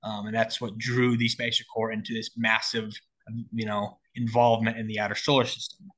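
A man's voice: lowish voice, level tone, moderate pace, a bit bright. A man in his late twenties explaining story ideas in casual talk, peppered with "like" and "you know". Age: late 20s. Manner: explanatory, frequent filler "like". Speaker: writer collaborating on a story. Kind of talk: casual creative discussion.

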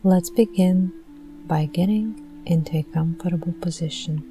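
A woman leading a guided meditation in a gentle, soothing, deliberate manner with long pauses. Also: lowish voice, music in the background.

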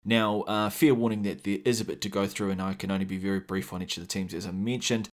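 A man with a New Zealand accent hosting a solo gaming podcast, explaining things at a measured pace with many "uh" fillers and restarts. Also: deep voice, steady tone. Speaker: podcast host.

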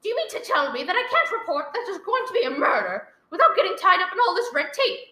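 A woman's voice: extremely high pitch, very animated, quick pace, pushed and bright, full character voice. A woman playing an anxious, imperious, bedridden wife in a radio thriller, stammering and easily exasperated. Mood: indignant. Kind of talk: old radio thriller acting. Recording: roomy echo, live one-take recording.

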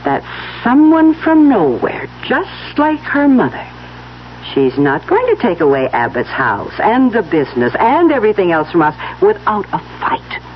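A woman's voice: big pitch swings, measured pace. A woman playing a middle-aged, haughty, scheming mother in a 1970s radio drama, speaking with dramatic emphasis. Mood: bitter, determined. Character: scheming, indignant wealthy mother, clinging and haughty. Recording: dull noisy old radio recording, low hum.

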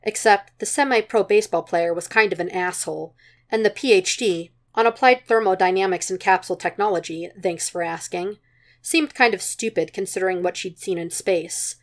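A woman's voice: medium pitch, moderate pace. A woman reading a story aloud as scripted narration, in the manner of an amateur audiobook. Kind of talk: scripted narration of fan fiction.

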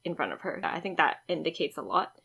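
A woman in her twenties co-hosting a relaxed talk, telling stories with animation and frequent giggles. Her voice is husky yet bright, sitting a little low for a woman. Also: fast talker. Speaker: podcast co-host. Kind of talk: casual chat, storytelling.

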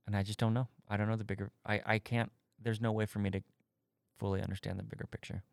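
A man's voice: on the low side, level tone, fast, gravelly, no echo, studio quality. A man in his thirties on a podcast, talking candidly and thoughtfully, searching for his words as he explains his faith. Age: 30s. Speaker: podcast co-host answering faith questions. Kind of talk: casual conversation.

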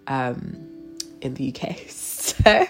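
A woman's voice: extremely low pitch, lively intonation, slow, soft background music. A woman with a cold-blocked, stuffy nose, giving a devotional talk with a spoken prayer in a chatty manner full of fillers and restarts. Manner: conversational, with frequent fillers and restarts.

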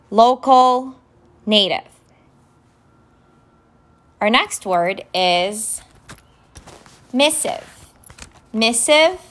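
A woman whose first language is Romanian talks through vocabulary words at an unhurried pace, spelling each one out carefully. Her pitch sits in a middle range, and she sounds casual and deliberate. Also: fairly bright tone. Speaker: podcast host. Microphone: phone app recording.

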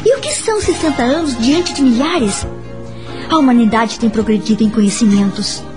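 An actress with a Brazilian accent playing a calm, kind married woman who counsels patiently in a radio soap opera. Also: fairly high pitch, moderate pace, music in the background, natural voice. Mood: calm, instructive.

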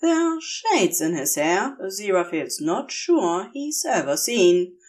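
Woman reading a story out loud, really slow, lots of up and down in her voice, expressive narrator. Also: medium pitch. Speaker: fan fiction reader, narrator.

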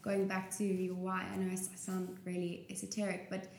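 A woman's voice: lowish voice, monotone, a little fast, a little mellow. A woman in her twenties talking candidly and casually as an interview guest. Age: 20s. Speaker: interview guest, small business owner. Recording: roomy echo with clear background noise.

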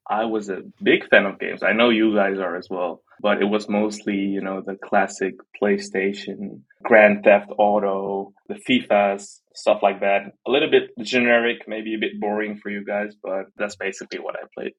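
A man's voice: on the low side, little pitch movement, moderate pace, hardly any pauses, husky, highs cut off. A man in his twenties from the Netherlands, chatting casually as a podcast guest in a self-deprecating, jokey way. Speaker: interview guest, art platform team member.

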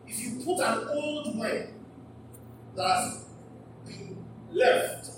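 Slow-preaching pastor in a hall. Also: male voice, extremely high pitch, big pitch swings. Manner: emphatic preaching, repeats phrases, asks the congregation questions. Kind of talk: live church sermon. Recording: distant mic in an echoey hall with background noise.

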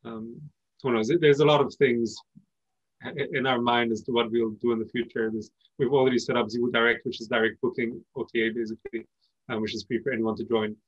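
A man who is a native Persian speaker, answering interview questions as a company founder in a conversational, explanatory way with pauses mid-phrase. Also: mid-range voice, level tone, no room sound, dull audio.

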